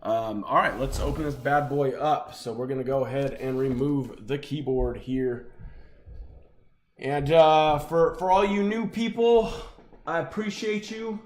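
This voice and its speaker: A young adult man hosting a live sports card break, slightly raspy and chatty as he opens packs. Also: mid-range voice, a little slow. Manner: upbeat, chatty, talks while opening packs.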